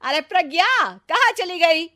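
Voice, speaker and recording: A woman's voice: very high voice, lively intonation, unhurried, pushed and bright, no echo, clean studio sound, full character voice. Woman playing a boastful, swooping elder sister-in-law. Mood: terrified. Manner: teasing, exaggerated comic delivery. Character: boastful, mocking elder sister-in-law who scares easily. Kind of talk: Hindi comic family story acting.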